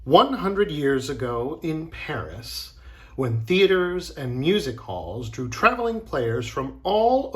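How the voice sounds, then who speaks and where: Expressive, animated male drama teacher. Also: medium pitch. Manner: encouraging, expressive. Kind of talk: children's video lesson and storybook narration.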